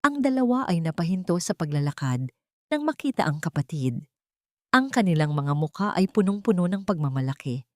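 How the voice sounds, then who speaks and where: Low-voiced woman narrating, dry sound. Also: big pitch swings, a little fast, dry recording. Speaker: storyteller. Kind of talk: dramatic scripted story narration.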